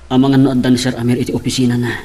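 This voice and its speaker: A man's voice: medium pitch, completely flat delivery, rapid-fire, lightly acted. A man acting an earnest, stubborn young employee in love, in an Ilocano audio drama. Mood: firm. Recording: clear background noise and ambience.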